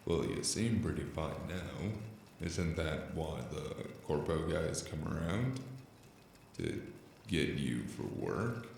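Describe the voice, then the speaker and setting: A very low, slow male voice acting as a weary noir drifter in an audio drama. He is wry and deadpan, with a gravelly creak, and sounds slightly echoey. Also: a little hiss or hum, heavily acted. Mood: deadpan curiosity. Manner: deadpan, dry, unhurried. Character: weary, wry noir stranger narrating his investigation. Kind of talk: cyberpunk noir narration and dialogue.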